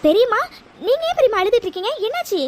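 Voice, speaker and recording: A woman's voice: very high-pitched, big pitch swings, racing, a little hiss or hum. A woman playing a worried relative in a comic family audio drama, asking urgent, pleading questions.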